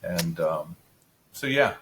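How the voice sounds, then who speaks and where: A middle-aged man with a deep voice, chatting casually as a podcast guest, rambling with restarts and filler words. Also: animated, slow, a bit bright, slight background noise. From Central Florida area resident. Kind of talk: casual interview chat.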